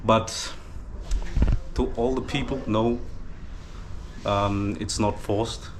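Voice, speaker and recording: A man's voice: lowish voice, fairly even intonation, takes it slowly, slight background noise. A man in his twenties with a Danish accent tinged with Fijian, answering viewer questions hesitantly in a casual chat, with many fillers. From Denmark. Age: 20s. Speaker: vlogger. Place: village gathering in Fiji.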